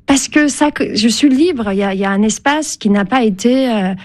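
A woman's voice: fairly high pitch, moderate pace. A Turkish-born woman, a novelist whose first language is Turkish, speaking French in a radio interview, thoughtful and hesitant, with many fillers and restarts. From Turkey. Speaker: novelist guest.

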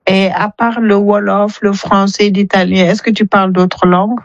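Woman from Senegal, gentle interviewer, muffled phone-message sound. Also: medium pitch, level tone, normal speed, no room sound. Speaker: mother and caller. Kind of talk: interviewing her daughter at home. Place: home. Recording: dull lo-fi voice message, dry.

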